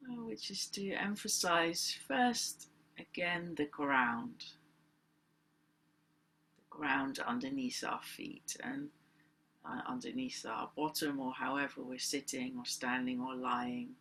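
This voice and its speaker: A woman guides a meditation with unhurried, very slow delivery. Her voice is husky and crackles with fry, yet has a bright edge. She gives gentle, deliberate instructions and leaves long pauses between phrases. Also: extremely low pitch. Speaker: meditation teacher.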